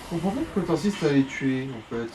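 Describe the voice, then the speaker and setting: A man's voice: mid-range voice, animated, fairly quick, noisy background. A man chatting casually as he comments on someone else's video game play. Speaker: companion commenting on the player's game. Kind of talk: casual chat. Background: video game music.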